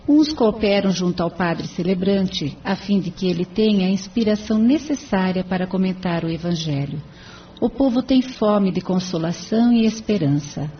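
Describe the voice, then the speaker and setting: A woman's voice: mid-range voice, natural voice. A woman playing a devoted spirit helper in an audio novela, explaining in a formal, kindly, didactic way. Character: serene, devoted female spirit helper explaining her charity. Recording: dull sound with faint music.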